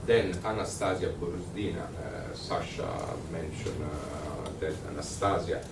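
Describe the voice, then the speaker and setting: A man with an Italian accent giving a workshop lecture, conversational and halting, with many fillers and restarts. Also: sits low. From Italy. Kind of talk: workshop lecture with audience questions. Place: lecture room. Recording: echoey room, distant mic, noisy.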